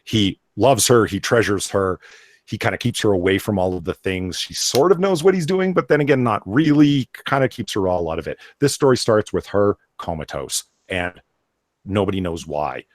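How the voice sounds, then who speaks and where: A man's voice: fairly low pitch, normal speed, dry recording, studio quality. A man chatting casually as a comics show panelist, opinionated and sweary as he reviews a book.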